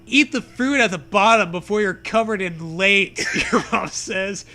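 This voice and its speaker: Male comedy reader, high and crisp-edged bright voice, unhurried, mock-dramatic with playful banter. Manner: mock-dramatic, breaks into laughter while reading. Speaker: comedy show host. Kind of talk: comic read-aloud of parody fiction with banter.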